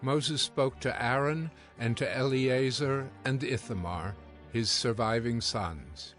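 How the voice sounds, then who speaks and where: Guy narrating bible audio drama, normal mid voice, measured n solemn, soft music in the back. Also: mid-range voice. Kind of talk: scripted dramatized scripture narration.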